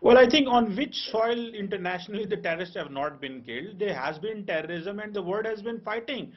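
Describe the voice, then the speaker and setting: A man's voice: high-pitched, animated, brisk, lo-fi sound. A man arguing as a panelist in a heated TV debate, switching between languages. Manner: argumentative, switches between English and Urdu.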